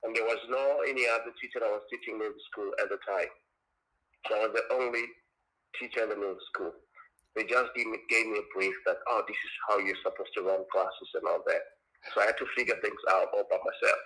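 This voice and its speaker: Man, interview guest, halting and repetitive, low voice, bright edge. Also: fairly even intonation, low-quality recording. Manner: halting, repeats words and restarts. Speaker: guest, teacher. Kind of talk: casual interview chat. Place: China.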